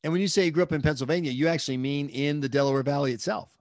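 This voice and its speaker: A man's voice: fairly high pitch, steady tone, dry recording. Podcast host, a man from the American South, chatty and joking, recorded with a dry, close sound. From southern United States. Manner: chatty, joking, quick asides. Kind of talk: conversational interview.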